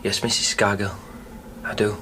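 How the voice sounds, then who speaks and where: An actor playing a friendly, respectful young pit worker in a radio drama. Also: male voice, fairly low pitch, level tone, normal speed, pushed and bright, a little hiss or hum, low-quality recording. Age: young adult. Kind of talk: broadcast radio drama acting.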